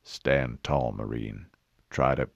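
A man voicing a gruff, steady male marine sergeant in an audiobook, with a plain-spoken, wry manner. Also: a bass voice, a little slow, no echo, dull audio, exaggerated voice. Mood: consoling. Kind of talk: audiobook character voice.

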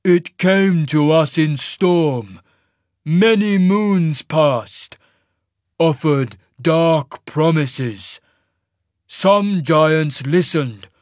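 A man voicing a massive, mournful giant who speaks in halting, broken phrases with a rumbling voice, in a fantasy audiobook. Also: animated, no echo, studio quality. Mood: grave. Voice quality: deep rumbling voice. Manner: slow, halting, clipped primitive phrases.

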